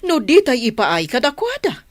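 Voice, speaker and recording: Radio drama part: concerned woman, questioning, animated intonation. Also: high-pitched, moderate pace.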